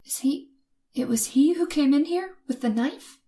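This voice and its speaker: An actress playing a terrified young woman who narrates her night of terror in old-time radio suspense style, dramatic and halting. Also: high-pitched, expressive, mellow. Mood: bewildered.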